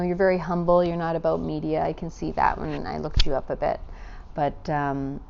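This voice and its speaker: A woman's voice: deep voice, a little mellow, highs cut off, a little hiss or hum. A woman interviewing a guest for a magazine, effusive and full of compliments.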